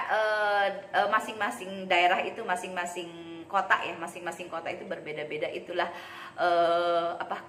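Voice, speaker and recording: Playful Sundanese woman with bright voice. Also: mid-range voice. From West Java, Indonesia. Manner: playful, teasing, laughs at own jokes. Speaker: vlogger. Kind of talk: casual talk to camera. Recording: echoey room with faint background noise.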